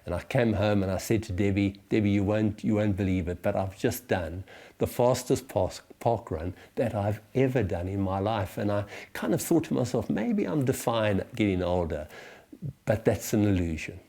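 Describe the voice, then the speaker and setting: A low, mellow male voice in his sixties with a South African accent. He teaches reflectively, choosing words deliberately and leaving hesitant pauses as he goes. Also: lively intonation. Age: 60s. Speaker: church teacher leading a series. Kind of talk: reflective spoken teaching for small groups.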